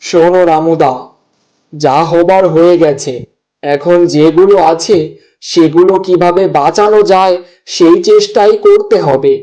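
A man voicing a steady, practical farmer neighbour in a cartoon, consoling and reasoning, on a muffled recording. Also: on the high side, a little slow, natural voice. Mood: encouraging. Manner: soothing, reasoning. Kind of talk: cartoon voice acting.

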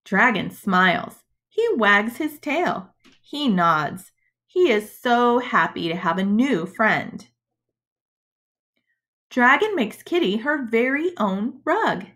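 Slow, lively, higher-pitched woman reading to children. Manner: deliberate, expressive, addressing young children. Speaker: schoolteacher reading to pupils. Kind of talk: storybook read-aloud for children. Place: indoors, webcam screen recording.

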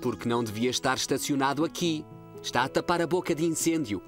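A man's voice: mid-range voice, fast talker, soft background music, close to an everyday voice. A man with a European Portuguese accent playing a polite, matter-of-fact police officer in a children's cartoon dub. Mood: friendly polite.

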